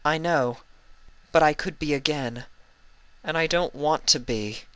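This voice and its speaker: A man's voice: on the high side, unhurried, sharp tone, clean tone, dry recording, voice pushed up, low-quality recording. A man voicing an anxious, guilt-ridden female pony princess from a fan story, pleading and earnest. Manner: emotional, earnest, pleading delivery.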